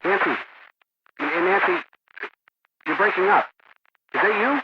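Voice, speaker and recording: A man's voice: high-pitched, big pitch swings, unhurried, vocal fry, heavily acted. Young male game character, worried, on a dull, crackling, heavily noisy phone line that keeps breaking up. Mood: confused, concerned. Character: worried young man on a failing phone call. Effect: crackling, breaking-up phone signal. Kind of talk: video game voice acting. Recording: dull phone call, loud static, clicks.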